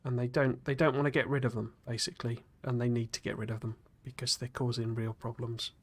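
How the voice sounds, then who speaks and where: A man chats casually while streaming a game, wandering off into long asides full of fillers and restarts. His voice sits at a medium pitch and carries a slight gruffness from a cold. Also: little pitch movement, fairly quick. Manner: rambling, chatty, many fillers and restarts. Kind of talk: casual live-stream chat while playing.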